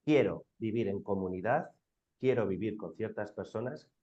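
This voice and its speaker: A man with a low voice and a northern Spanish accent talks informally on a panel. He is emphatic and colloquial, often posing a question before answering it. The recording is somewhat muffled and lo-fi. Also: moderate pace, mellow. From Bilbao, Spain. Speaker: round-table panelist.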